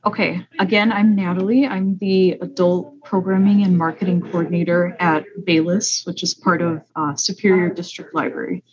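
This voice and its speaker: A woman gives conversational answers as a podcast guest. Her voice is on the low side, and the recording sounds a bit muffled. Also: flat delivery, normal speed, few pauses, a little mellow. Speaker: podcast guest, library programming coordinator.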